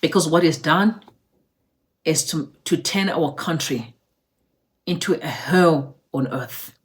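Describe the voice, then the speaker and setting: A woman's voice: deep voice, takes it slowly. Woman, Zimbabwean accent, political commentary, blunt, indignant. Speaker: online commentary show host.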